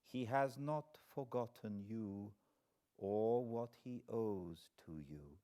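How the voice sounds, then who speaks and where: A man voicing a gentle, devout nursing nun in an audiobook, measured and confiding. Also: lowish voice. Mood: gentle, grave.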